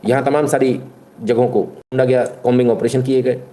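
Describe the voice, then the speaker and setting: A male live-show host with a medium-pitched, dark, relaxed tone. He delivers opinionated news commentary in an emphatic, colloquial way, throwing rhetorical questions at his audience and slipping into English phrases. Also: fairly quick, a little hiss or hum.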